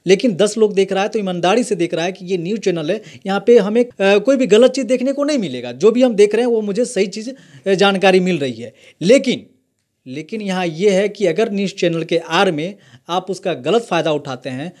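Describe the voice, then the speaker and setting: A man, a journalist explaining things in an interview, halting with many fillers. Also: high voice, darkish tone. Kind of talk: interview chat.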